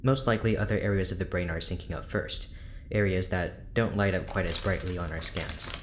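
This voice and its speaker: A man's voice: sits low, fairly quick, a little hiss or hum, close to an everyday voice. A man playing a reserved, weary research doctor in an audio drama, speaking in a guarded, hesitant, clinical way.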